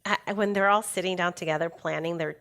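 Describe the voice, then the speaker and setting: A middle-aged woman who grew up speaking Spanish, talking easily in an interview. Her voice sits a little low, and she gets lively when she acts out what other people said, laughing as she goes. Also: fairly quick. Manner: animated, laughs often, acts out quoted voices. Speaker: guest, studio safety leader. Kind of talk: casual interview chat.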